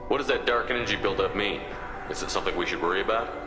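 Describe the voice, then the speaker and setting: A man's voice: on the low side, racing, close to an everyday voice. An actor playing a composed male military commander in a video game, speaking in a direct, businesslike way. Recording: echoey hall reverb, music behind.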